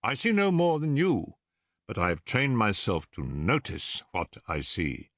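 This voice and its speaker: A man reading an audiobook as a self-assured male detective, crisp, incisive and a little condescending. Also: fairly low pitch, wildly swooping pitch, unhurried, a bit bright, no room sound, clean studio sound, full character voice. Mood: incisive, commanding. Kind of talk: audiobook character dialogue.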